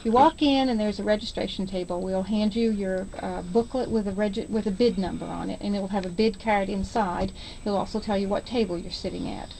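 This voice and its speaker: An American woman speaking as a radio interview guest, explaining things informally and a little haltingly as she promotes an event. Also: mid-range voice, creaky, dark tone, slight background noise, poor audio. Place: radio station.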